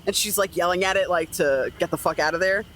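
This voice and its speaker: A man's voice: sits high, quick pace, bright, forceful tone, a little hiss or hum. A man chatting casually as a player in a tabletop role-playing game, describing what a character does.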